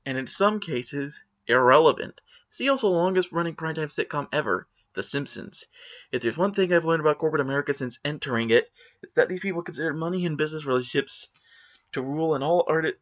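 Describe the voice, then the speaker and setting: A man's voice: a little high, muffled recording. A man giving a dramatic reading of online forum comments, with exaggerated, indignant, theatrical emphasis. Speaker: reader of forum posts.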